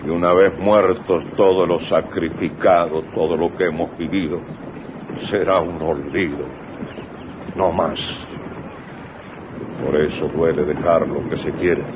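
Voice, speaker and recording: A man's voice: low voice, steady tone, unhurried, pauses often. An actor playing a weary exiled general in a radio drama, solemn and rhetorical, grieving for the homeland he is leaving. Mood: sorrowful, resigned. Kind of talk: 1980s radio drama acting. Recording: muffled, noisy old radio broadcast tape.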